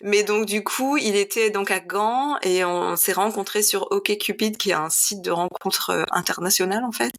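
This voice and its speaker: Female interview guest, early forties, from northern France, mid-pitched voice, casual and chatty personal storytelling. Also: normal speed, few pauses, a bit bright. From Lille, northern France. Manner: chatty, drops in English phrases. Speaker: podcast guest, paediatric nurse.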